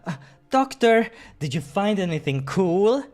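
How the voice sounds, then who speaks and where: Eager young cat-boy adventurer, played by a man, high and excitable, naive, visual novel game character. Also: measured pace, soft background music.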